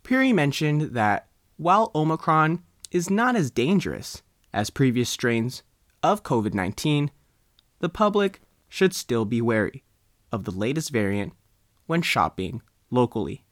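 A man with an American accent reading a scripted news report as a reporter, in deliberate phrases with frequent pauses. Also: mid-range voice, sing-song, no echo, clean studio sound. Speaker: newspaper reporter narrating.

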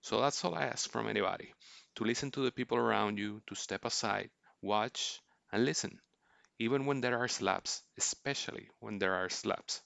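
A man hosts a film review in a low register with a gravelly edge. His delivery is measured and earnest, reading prepared commentary, and the sound is very dry. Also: fairly bright tone, no room sound. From Puerto Rico. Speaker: film podcast host. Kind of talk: scripted film review narration.

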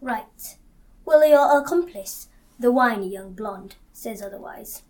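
A woman's voice: a little high, expressive, slow. A young woman playing a stern, sceptical police interrogator in an amateur audio drama. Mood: accusing.

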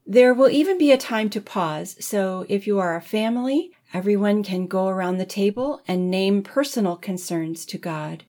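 A woman leading a congregation in prayer with gentle, deliberate phrasing. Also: mid-range voice, animated. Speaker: music director leading prayer. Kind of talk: led liturgical prayer.

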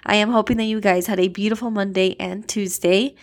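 A woman's voice: medium pitch, fairly even intonation, a little fast. Congested American woman hosting a devotional. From Miami, Florida, USA. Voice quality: slightly nasal, congested. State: stuffy, congested nose. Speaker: devotional podcast host. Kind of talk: conversational devotional talk and prayer. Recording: music bed behind the voice. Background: background music.

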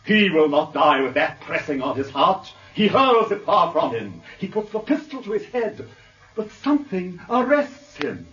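An actor playing an eloquent defence barrister, declaiming a grandiloquent, theatrical courtroom oration in a radio drama. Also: male voice, high-pitched, sing-song, normal speed, a bit bright. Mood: melodramatic. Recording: muffled, distant radio drama recording.

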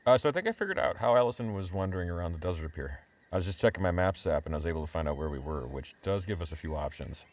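A man's voice: low-pitched, animated, rapid-fire. A man playing a reluctant, nervous young sidekick in an audio drama, hesitant and wryly complaining. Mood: practical, wry. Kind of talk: full-cast audio drama acting. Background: music.

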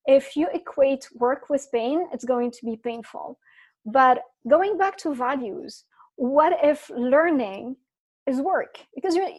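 Guest, woman from Syria, high voice, animated storyteller. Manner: animated storyteller, many restarts. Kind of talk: casual interview chat.

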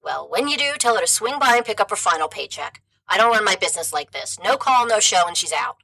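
A woman playing a brisk, irritated cleaning company boss in an audio drama, curt and businesslike. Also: mid-range voice, lively intonation, vocal fry, sharp tone, heavily acted, poor audio. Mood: fed up.

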